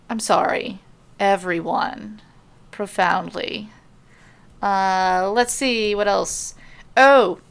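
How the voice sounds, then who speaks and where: Woman, podcast host and storyteller, slow pace, creaky voice, dry self-deprecating humor. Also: fairly high pitch, fairly bright tone. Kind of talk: casual rambling podcast intro, then audiobook-style narration.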